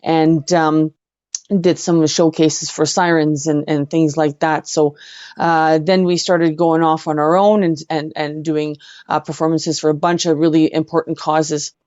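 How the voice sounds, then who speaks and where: A middle-aged woman with a Canadian accent, telling personal stories as an interview guest in an earnest, halting way. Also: deep voice, little pitch movement, darkish tone, clean tone, dry recording, muffled recording. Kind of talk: personal storytelling in conversation.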